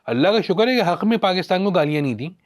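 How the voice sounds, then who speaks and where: A Pakistani man with a somewhat high, highly animated voice holds forth as a film commentator. He talks conversationally but with emphasis, throwing out rhetorical questions. A sarcastic edge runs through his opinions. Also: racing. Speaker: podcast guest, film critic.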